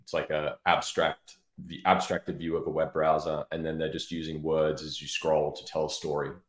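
A man's voice: rumbling low, level tone, normal speed. A man giving explanatory, opinionated answers as an expert guest in a casual interview. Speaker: interview guest, head of product marketing. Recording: roomy, echoing sound.

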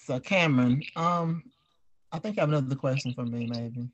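A man's voice: medium pitch, moderate pace, no echo, muffled recording. A man hosting a casual group interview in an informal, slangy way. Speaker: podcast host and audio drama creator.

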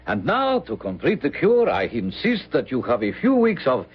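Husky, animated doctor. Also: male voice, mid-range voice, moderate pace. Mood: genial, reassuring. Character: genial, insistent Spanish doctor, mature man. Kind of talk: old-time radio drama acting. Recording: dull lo-fi radio drama recording.

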